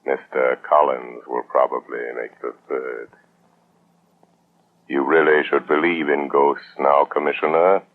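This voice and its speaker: An actor in a 1930s radio drama playing a menacing, coolly mocking unseen crime-fighter, speaking slowly and ominously. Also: male voice, a bass voice, little pitch movement. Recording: noisy, tinny 1930s radio broadcast.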